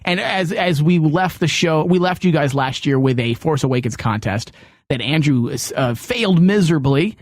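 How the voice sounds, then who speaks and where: A man's voice: on the high side, expressive, normal speed, no echo. A man hosting a studio podcast in a casual, chatty style with teasing banter. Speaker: podcast host.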